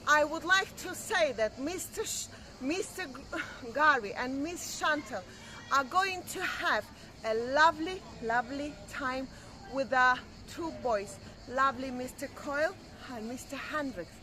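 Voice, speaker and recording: A woman's voice: sits high, lively intonation, a little slow, pushed and bright, low-quality recording. A woman with a non-native English accent co-hosting a vlog, enthusiastic and hunting for words. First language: not English. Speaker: vlog co-host. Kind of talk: casual vlog chat. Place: outdoor holiday resort. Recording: faint outdoor background noise.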